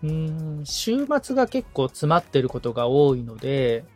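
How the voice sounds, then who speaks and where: A man's voice: a little high, very animated, normal speed, soft background music. A man in the assistant's seat, talking casually but politely, agreeable and thoughtful as he checks back with questions. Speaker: podcast assistant being coached.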